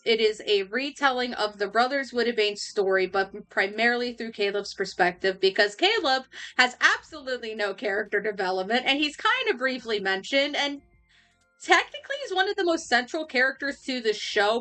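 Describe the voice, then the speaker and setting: Giggly, bright-voiced young woman streamer. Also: fairly high pitch. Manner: giggly, laughs often, rambling with restarts. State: losing her voice. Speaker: livestreamer. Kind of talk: casual chat.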